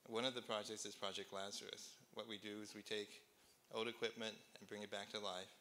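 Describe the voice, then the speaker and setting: A man from Taiwan who works as a teacher, sharing a friendly, halting testimony at a community gathering. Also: lowish voice, flat delivery, pushed and bright, a little hiss or hum. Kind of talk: audience testimony.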